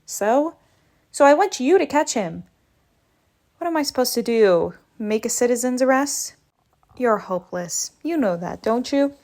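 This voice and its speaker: A woman reading a comic book aloud in a lively way, changing her voice for each character. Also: a little high, measured pace. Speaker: read-aloud narrator.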